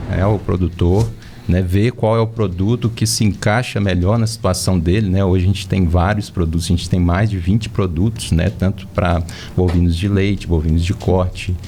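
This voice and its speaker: A man answering as a company representative in a studio, steady and full of "né". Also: low-pitched, slight background noise. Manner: steady, peppered with "né". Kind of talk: casual interview answers.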